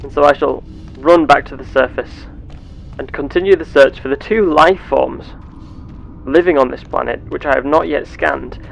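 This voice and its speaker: A man voicing a curious, earnest space explorer with measured, formal, theatrical phrasing, narrating a sci-fi game roleplay. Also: mid-range voice, creaky, a bit bright, slight background noise, low-quality recording. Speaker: gameplay host.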